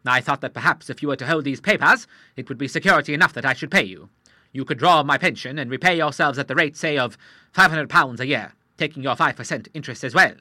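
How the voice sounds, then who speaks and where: A man voicing a hot-tempered retired admiral of sixty-three, speaking loudly and with affront. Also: medium pitch, fast, dry recording, clean studio sound. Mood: indignant. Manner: loud, blustering and affronted.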